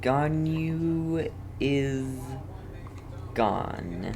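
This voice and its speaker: A woman with a very deep voice hosts a casual game playthrough. She talks very slowly in short, repeated bits, thinking aloud, with faint noise behind her. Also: lively intonation. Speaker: gaming video host.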